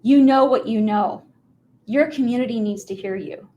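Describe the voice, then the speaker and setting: Middle-aged American woman, high voice, conversational presenter who speaks straight to listeners with emphasis. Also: normal speed, warm tone, highs cut off. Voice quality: high-pitched voice. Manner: emphatic, informal, direct address to listeners. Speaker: mortgage professional giving a talk on video marketing. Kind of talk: motivational business presentation, conversational storytelling.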